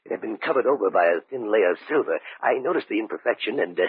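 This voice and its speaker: An actor playing a wealthy, eccentric collector who savours telling dark tales in an old-time radio drama. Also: male voice, fairly low pitch, expressive, fast talker, breathy edge, no echo. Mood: genial, sly. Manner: hesitant chuckles, savouring dramatic storytelling. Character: wealthy, eccentric collector of cursed antiques, eerily genial storyteller. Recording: narrow-band old radio recording.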